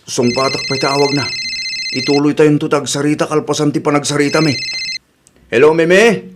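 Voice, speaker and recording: A man plays an earnest young man in an Ilocano radio drama. His voice sits quite high for a male, with an Ilocano accent and an aggrieved, pleading, emotional delivery. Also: steady tone, moderate pace, background noise, lightly acted. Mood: insistent. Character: earnest young son, aggrieved jilted boyfriend.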